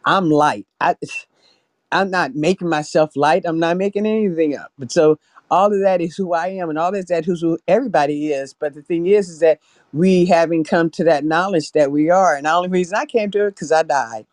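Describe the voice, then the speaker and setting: A man's voice: on the high side, brisk, dry recording, studio quality. A man speaking as a podcast guest. He talks emphatically and repeats himself, stammering and laughing as he goes. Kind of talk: casual testimony.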